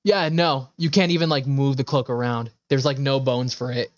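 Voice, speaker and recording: A man's voice: medium pitch, fairly even intonation, normal speed, no room sound. A man giving casual live game commentary as a streamer, rambling and sweary with enthusiastic asides. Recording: slightly dull, dry microphone.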